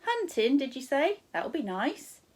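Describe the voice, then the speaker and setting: A woman voicing a hearty, motherly food stall keeper in a children's storybook, warm and full of endearments. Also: high-pitched, sing-song, measured pace, voice pushed up. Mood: hearty, friendly. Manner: warm, uses pet names like love and dear. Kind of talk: children's storybook read aloud.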